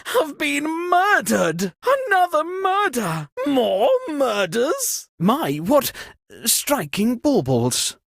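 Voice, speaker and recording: An excitable society hostess in a murder-mystery game. Her delivery is grand and old-fashioned, swinging dramatically up and down as she exclaims. The voice is a little husky and sounds close and dry. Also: high voice, drawn-out words, no echo, heavily acted. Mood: shocked, exclaiming. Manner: melodramatic, exclamatory, old-fashioned turns of phrase. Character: excitable, theatrical party host lady, easily shocked by murders. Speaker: game host.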